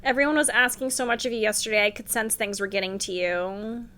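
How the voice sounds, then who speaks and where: A young woman live-streaming a game, giving casual, expressive, exclamatory reaction commentary. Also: fairly high pitch, fast.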